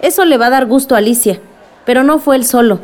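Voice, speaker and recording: A woman playing a village activist in a radio drama. Her voice is fairly high, polite yet firm and insistent. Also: fast, a little mellow, natural voice. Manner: insistent, respectful.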